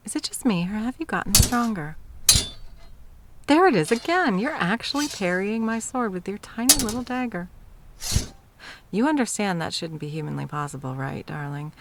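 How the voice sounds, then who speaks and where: A woman's voice: mid-range voice, expressive, normal speed, a little hiss or hum, close to an everyday voice. A woman acting an arrogant, centuries-old immortal sorceress tyrant in an ASMR roleplay, teasing and theatrical, at times slipping into whispers. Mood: thrilled, combative. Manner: mocking, purring, theatrical, slipping into breathy whispers.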